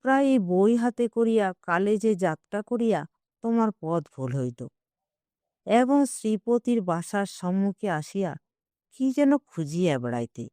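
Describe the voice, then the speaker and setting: A woman voicing a wry, coaxing old Bengali gentleman, a self-justifying matchmaker in an audio storybook, speaking deliberately. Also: mid-range voice, sing-song, no echo, studio quality. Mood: wry, teasing.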